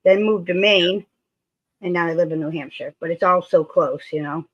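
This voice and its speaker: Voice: mid-range voice, moderate pace, a bit bright. Chatty co-host over dull lo-fi audio. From Massachusetts. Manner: chatty, enthusiastic about the region. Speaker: livestream co-host. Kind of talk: casual chat. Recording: dull lo-fi sound.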